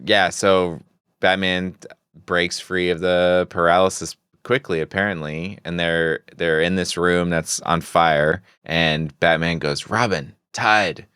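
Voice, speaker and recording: A man with a low, smooth voice chats as a podcast co-host. He explains things casually and conversationally, in a dry, close recording. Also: level tone, slow pace, fairly bright tone, dry recording. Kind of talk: casual comic-book recap chat.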